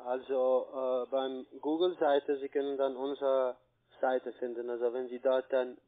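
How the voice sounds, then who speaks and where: A man with a foreign accent in German, a non-native speaker talking haltingly in broken grammar as a call centre agent. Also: medium pitch, flat delivery. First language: not German. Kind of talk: halting phone sales talk. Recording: narrow tinny phone line.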